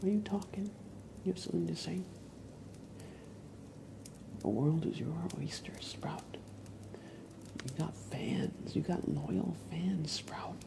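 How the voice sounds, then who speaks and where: A man's voice: on the high side, slow pace, long pauses. Whispering man doing casual ASMR. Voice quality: whispered, breathy soft voice. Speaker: livestream host, ASMR creator. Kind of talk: ASMR, casual live chat.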